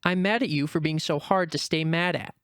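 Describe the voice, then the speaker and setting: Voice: mid-range voice, a little fast, fairly bright tone, smooth, dry recording, studio quality, natural voice. A man voicing a feisty small female rabbit, blunt and stubborn, in a dramatic reading of a screenplay. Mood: indignant, challenging.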